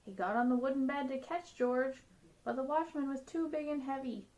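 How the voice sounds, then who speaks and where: A woman reading a children's picture book aloud as a storyteller, with an expressive, dramatic delivery. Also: high-pitched, moderate pace, rough recording.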